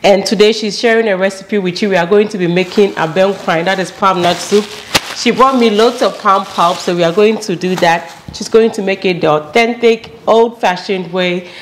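A woman's voice: mid-range voice, normal speed, slight background noise. A young woman from Ghana with a Ghanaian accent, hosting her home cooking channel and talking straight to viewers in a friendly, casual way from her kitchen. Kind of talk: casual introduction to camera.

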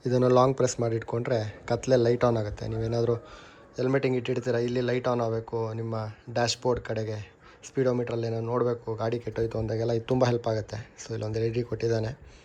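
A man's voice: medium pitch, monotone, fast, dark tone, slight background noise. A young man with a Kannada accent giving a casual, chatty review of a helmet intercom, mixing in English words as he goes. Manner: chatty, mixes English words into his talk, self-corrects. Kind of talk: casual product review and unboxing.

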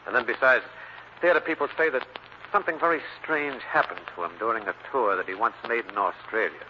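An actor playing a defensive, irritable theatrical business manager in an old-time radio drama. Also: male voice, mid-range voice, a little fast, close to an everyday voice. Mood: wary, explaining. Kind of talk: 1940s radio drama acting. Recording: muffled, noisy old radio recording.

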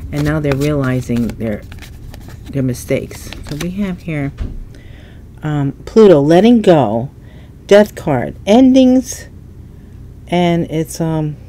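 A woman reading tarot at a table, her voice a little stuffy and set low, with a dark, mellow tone. She muses aloud in a casual way as she lays out cards. A faint hum sits behind her. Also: animated, takes it slowly, long pauses. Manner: musing, thinking aloud while turning cards. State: stuffy nose. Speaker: tarot reader. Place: indoors at a table, hands only in frame.

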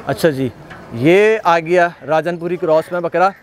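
A man's voice: on the high side, a little slow, slight background noise. A man hosting an on-site walkthrough of livestock, chatting casually and repeating praise often. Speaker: livestock video host. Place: outdoor goat pen at a farm.

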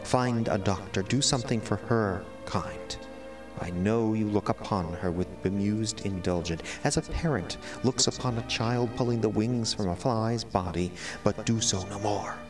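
An actor playing an eloquent, melodramatic cat who sees himself as a prisoner, speaking in formal, florid, theatrical monologue. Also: male voice, fairly low pitch, a little slow, distant mic, lightly acted. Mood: earnest pleading. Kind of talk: dramatic first-person audio drama monologue. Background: music.

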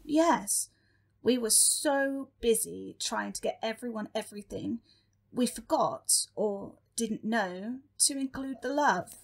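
A meek little demon underling, acted by a woman for a comic audio play. The voice sits a bit high and moves slowly, hesitating with earnest care over each thought. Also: vocal fry, heavily acted. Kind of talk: comic audio drama acting.

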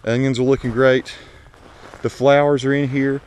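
A man's voice: mid-range voice, little pitch movement, normal speed, darkish tone, a little hiss or hum, low-quality recording. A man giving a folksy, unscripted, conversational tour of his home garden, recorded outdoors on an action camera while walking. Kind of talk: casual vlog narration. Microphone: action camera mic.

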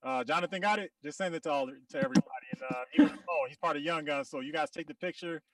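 A man's voice: on the high side, animated, quick pace, creaky, a crowd in the background. A man hosting a group video call, chatting casually and rapping. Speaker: host and rapper. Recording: distant video-call sound over music.

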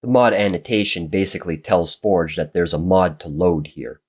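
A man narrating a screen-recorded coding tutorial while getting over a cold, his voice a little raspy and nasal, explaining in a steady, methodical way. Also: low voice, moderate pace.

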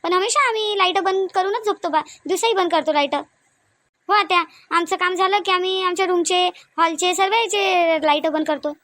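A woman's voice: extremely high pitch, normal speed, fairly bright tone, no echo, clean studio sound. A woman voicing a reluctant, defensive young daughter-in-law in a comedy cartoon, with a Varhadi Marathi accent. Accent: Varhadi Marathi (Vidarbha).

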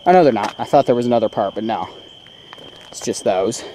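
A man's voice: fairly low pitch, lively intonation, fairly quick, warm tone, slight background noise, low-quality recording. A young man casually showing off his car in a vlog, rambling with many ums, filmed on a handheld camera in and around his parked car. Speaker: vlogger. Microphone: handheld camera mic.

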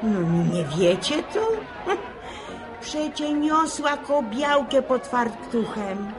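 A woman's voice: sits high, expressive, slow pace. A spiteful, moralizing village gossip who takes her time over each scandalized phrase. The delivery is rustic, from an old radio serial. Music and crowd chatter are behind her. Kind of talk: Polish radio serial acting.

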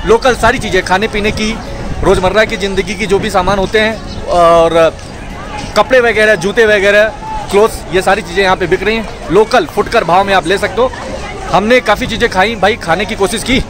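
A man's voice: high voice, moderate pace. A young man from India, chatting casually to viewers as a travel vlogger, recorded outdoors on a camera held at arm's length. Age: young adult. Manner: chatty, addresses viewers as brother. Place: outdoors at a busy railway station. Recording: outdoor crowd noise, music behind.

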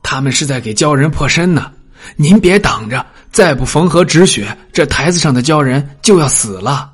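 A man's voice: a little high, lively intonation, moderate pace, slightly rough. A man playing an anxious, officious, deferential steward of a princely household in an audiobook drama. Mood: urgent.

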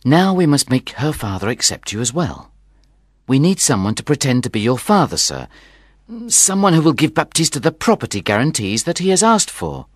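A woman voicing a reassuring, scheming male servant in a storybook, soothing and confiding, with a husky voice. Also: very deep voice, very animated, moderate pace, no echo, clean studio sound, voice pushed down, heavily acted.

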